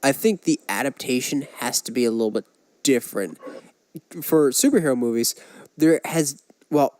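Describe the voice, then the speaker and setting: A man's voice: medium pitch, lively intonation, normal speed, darkish tone, dry recording. A man co-hosting a podcast, casually discussing films and giving his opinions with examples. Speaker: podcast co-host.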